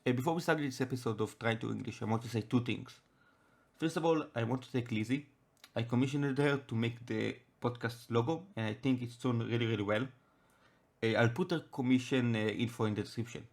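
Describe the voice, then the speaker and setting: A young man from Israel with an Israeli accent, Hebrew his first language, hosting a casual chat podcast and talking haltingly with many restarts. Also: mid-range voice, vocal fry. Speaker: podcast host.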